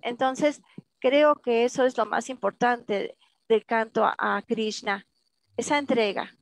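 A woman in her fifties in a class sharing her view over a video call, speaking earnestly and often checking in with a quick question. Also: fairly high pitch, gravelly, no room sound, low-quality recording. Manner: earnest, with frequent tag questions. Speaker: class participant. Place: home room, video call. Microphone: laptop webcam mic on a video call.

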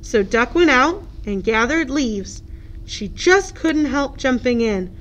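Lady doing storytime narration for little kids, slow and really animated. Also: sits high, slight background noise, poor audio. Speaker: children's librarian reading at story time. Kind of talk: expressive children's picture-book narration.

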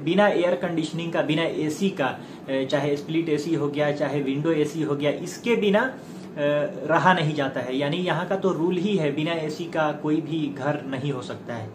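A man, giving an informal explainer to camera in halting phrases with frequent filler pauses. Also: fairly high pitch. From India. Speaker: YouTube presenter giving career advice. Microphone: clip-on lapel mic. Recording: echoey room with loud background noise.

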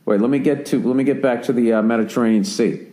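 A profane, rambling American man in his forties hosts a podcast, swearing through a long story. His voice sits dark and mellow. The recording sounds roomy and echoey. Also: medium pitch, fast. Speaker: podcast host. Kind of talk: casual podcast chat.

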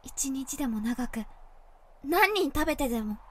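Woman as a high-voiced, wistful young girl. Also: animated, unhurried. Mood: resolute. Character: wistful, resolute girl who has turned man-eater. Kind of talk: Japanese audio drama acting.

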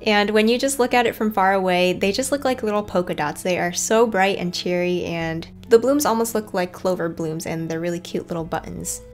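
A woman's voice: on the low side, brisk, hardly any pauses. A woman narrating a casual garden tour in a chatty, informal way. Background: background music.

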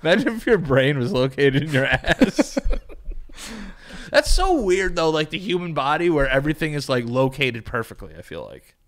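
A man's voice: fairly high pitch, very animated, normal speed, fairly bright tone. A man co-hosting a podcast, riffing on absurd ideas in casual, profane slang. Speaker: podcast host. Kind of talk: casual comedic banter.

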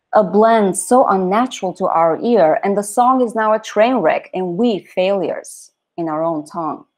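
Woman in her thirties, low voice, soft measured poetry reading, laptop mic at home. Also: rough recording. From Bulgaria. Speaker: poet reading her work. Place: home room with bookshelves. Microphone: video call, laptop camera.

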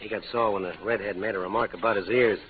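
Man acting laconic cowboy sidekick, casual, low pitch, radio Western, hissy old recording. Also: fairly even intonation, quick pace, phone-quality sound, natural voice. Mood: gossipy. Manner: folksy, laconic. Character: casual, plainspoken frontier sidekick.

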